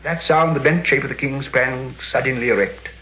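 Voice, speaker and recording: A man's voice: mid-range voice, normal speed, bright, forceful tone, poor audio. A man giving a dramatic, declamatory reading of heroic literary prose on an archive recording. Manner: declamatory, theatrical.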